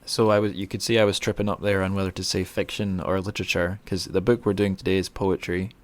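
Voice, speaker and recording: Awkward low-voiced man hosting a podcast. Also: little pitch movement, fast talker. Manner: awkward, hesitant, self-deprecating. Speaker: podcast host. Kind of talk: casual interview.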